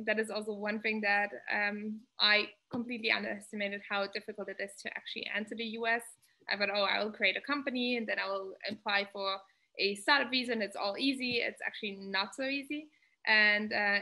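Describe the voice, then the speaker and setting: Brief: woman in her thirties, bright clear tone, measured panel speaker on a laptop mic. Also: medium pitch. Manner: earnest, measured, many "um" pauses. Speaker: startup founder, panel guest. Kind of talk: advice talk on a video call panel. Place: indoor home room. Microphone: laptop webcam on video call.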